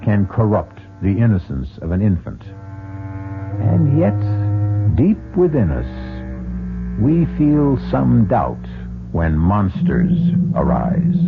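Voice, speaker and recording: A man hosting an old-time radio mystery with dramatic, ominous, rhetorical scripted narration. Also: lowish voice. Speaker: host and narrator. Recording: phone-like old radio recording. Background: music.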